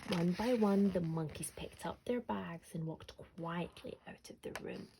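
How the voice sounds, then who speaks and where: A woman storyteller narrating a children's bedtime story expressively, sometimes dropping to a hush. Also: on the low side, measured pace, creaky, noisy background.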